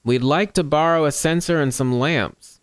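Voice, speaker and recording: A gamer giving casual, irreverent playthrough commentary, with sweary deadpan asides and reading along in conversation. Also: mid-range voice, normal speed. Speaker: gamer reacting to a story quest.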